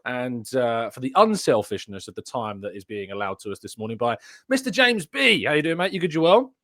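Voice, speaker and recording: A man's voice: medium pitch, very animated, a little fast, fairly bright tone, dry recording, studio quality. A young man hosting a casual football podcast from a home room over a video call, chatty and self-deprecating, laughing often. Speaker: host. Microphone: studio mic on desk with headphones.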